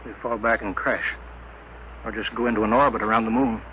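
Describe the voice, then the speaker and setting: A man's voice: on the low side, little pitch movement, brisk, bright, forceful tone. A man acting in a 1950s radio drama as a sober ship's engineer with a matter-of-fact delivery. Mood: grave. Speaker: radio actor. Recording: muffled, noisy old radio broadcast.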